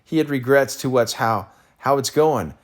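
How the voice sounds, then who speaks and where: A man reading out transcript excerpts in a measured, matter-of-fact way. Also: mid-range voice.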